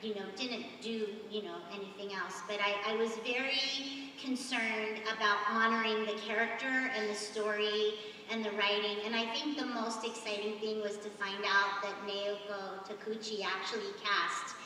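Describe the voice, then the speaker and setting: Bright, earnest woman on a hall panel. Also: mid-range voice, steady tone, a little hiss or hum. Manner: earnest, a little halting. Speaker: voice actress on a panel. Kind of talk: casual panel talk. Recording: echoey convention hall, far from mic.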